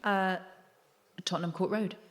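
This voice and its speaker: A woman's voice: mid-range voice, steady tone, unhurried. A woman bantering playfully as a panellist in a comedy game. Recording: echoey hall, loud background noise.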